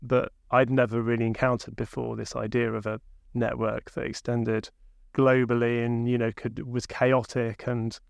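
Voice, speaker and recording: A man in his fifties with a broad Sheffield accent talks through his career in a relaxed, reflective way, often pausing on fillers. His voice is gravelly and fairly flat in pitch, recorded dry and close. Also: no room sound. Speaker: guest, company chief executive. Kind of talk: conversational interview.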